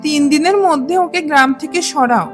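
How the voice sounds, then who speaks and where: A woman's voice: high voice, fairly quick, faint music behind, natural voice. A woman playing a blunt, impatient villager in a cartoon. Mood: stern. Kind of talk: cartoon character acting.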